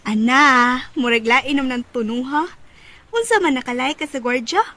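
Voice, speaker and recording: A woman's voice: high voice, normal speed, sharp tone. A woman acting as a caring, nosy older co-worker in a Bisaya radio drama, giving motherly, chiding advice in a Cebuano accent. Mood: teasing.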